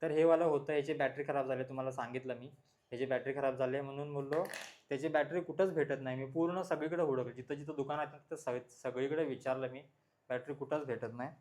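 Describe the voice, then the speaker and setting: A man's voice: mid-range voice, fairly even intonation, fast talker, darkish tone. A man talks informally and repetitively to his viewers, explaining as he shows things, like a vlog host. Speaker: vlogger, motorcycle channel host. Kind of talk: casual product showcase chat to viewers.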